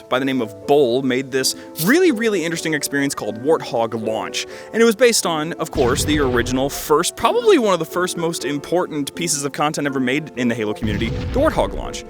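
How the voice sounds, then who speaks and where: Guy in his 20s reviewing games, chatty n enthusiastic, jokey asides, music playing behind. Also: medium pitch. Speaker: video host reviewing fan games. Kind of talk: casual commentary.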